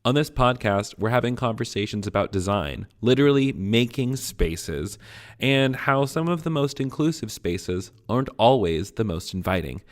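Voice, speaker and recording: A man's voice: mid-range voice, animated, normal speed. A man reading a scripted podcast intro in an upbeat, friendly way. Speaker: show announcer.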